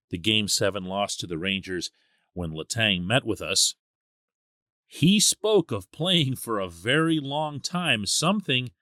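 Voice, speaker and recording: A man delivers solo sports commentary as a podcast host, swinging widely in pitch as he stresses his points. His phrasing is deliberate and emphatic, broken by dramatic pauses, and the sound is close and dry with a medium-pitched voice. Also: sharp tone, no room sound. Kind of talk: solo sports commentary, conversational with a read sponsor spot.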